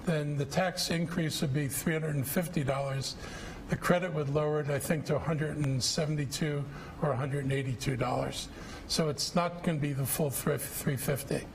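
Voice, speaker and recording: A man's voice: on the high side, slight background noise. A middle-aged man at a public budget forum, answering questions in a blunt, combative, plain-spoken way. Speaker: county executive answering questions.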